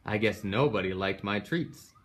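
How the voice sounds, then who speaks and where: A man's voice: on the low side, moderate pace, a bit bright. A man voicing a fussing, teasing mother frog in a picture book, with a mock-stern way of talking. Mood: consoling.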